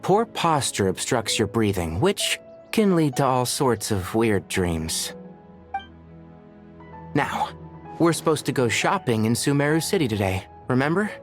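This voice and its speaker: An actor playing a no-nonsense but caring young male mentor in a video game, crisp and measured, given to lecturing. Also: mid-range voice, big pitch swings, faint music behind. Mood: caring, instructive. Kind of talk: video game cutscene acting.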